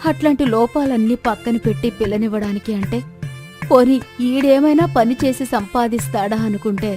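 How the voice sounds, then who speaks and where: నటి పోషించిన దిగులుపడే పల్లెటూరి తల్లి, కాస్త హెచ్చు స్వరం. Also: female voice, fairly high pitch, normal speed, mellow, soft background music, lightly acted. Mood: despairing. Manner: plaintive, rustic colloquial speech. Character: worried, lamenting village widow, mother of grown son. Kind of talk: dramatized moral story.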